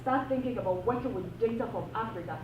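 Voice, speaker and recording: A woman's voice: fairly high pitch, fast, background noise. Impassioned woman, distant and echoey. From Ghana. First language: not English. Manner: earnest, impassioned, repeats phrases for emphasis. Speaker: panel speaker, PhD academic. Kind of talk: panel discussion remarks. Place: university event room. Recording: echoey room, distant mic, dull sound.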